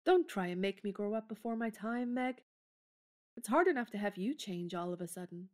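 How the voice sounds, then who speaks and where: A woman's voice: mid-range voice, expressive, normal speed, a little mellow, lightly acted. A woman playing a spirited, tomboyish young woman in an audio drama, speaking in a forthright, emphatic way. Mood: indignant. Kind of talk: theatrical audio drama acting.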